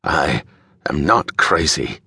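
A man's voice: extremely low pitch, lively intonation, slow, gravelly, bright, forceful tone, husky, dry recording, clean studio sound, heavily acted. A man voicing an enraged, brutal crime boss in an audiobook, seething and threatening.

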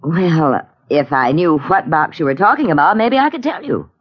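A woman's voice: low-pitched, sing-song, normal speed, husky, heavily acted. An actress playing a guarded, nervous woman with a secret in a 1940s radio mystery, clipped and defensive. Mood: guarded, indignant. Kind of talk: 1940s radio drama acting. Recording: dull vintage radio recording.

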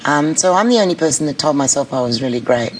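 A middle-aged woman with a husky voice, speaking bluntly and candidly as an interview guest. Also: sits low, lively intonation, quick pace, a little hiss or hum, rough recording. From Australia. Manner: blunt, candid, laughs easily. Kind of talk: casual chat.

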